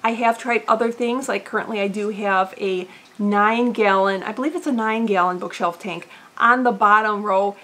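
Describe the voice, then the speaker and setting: A woman's voice: mid-range voice, steady tone, normal speed, a bit bright. A woman in her thirties hosting an aquarium vlog, talking casually with frequent "uh" fillers, seated in an indoor room full of tanks. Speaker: aquarium channel host. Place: indoor fishroom with tank racks.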